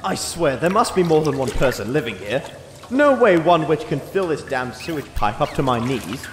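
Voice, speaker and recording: A man's voice: a little high, sing-song, moderate pace. A man playing an exasperated, squeamish swordsman with a dry, sarcastic, fussy manner in a comedy fantasy cartoon. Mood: disgusted. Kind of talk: comedic animated fantasy voice acting. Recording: echoey sewer-like reverb with noise.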